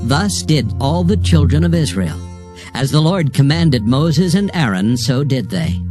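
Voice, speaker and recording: A man's voice: medium pitch, animated, unhurried. A man narrating dramatized scripture in a solemn, declamatory manner, with music behind him. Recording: music bed under narration.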